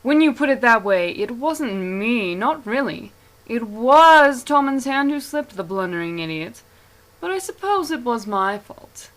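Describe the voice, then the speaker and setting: A woman's voice: on the high side, animated, a little slow, fairly bright tone, low-quality recording. A woman playing a sly, coolly threatening swordswoman in an arch, taunting fantasy monologue. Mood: mocking menace. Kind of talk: theatrical fantasy character monologue.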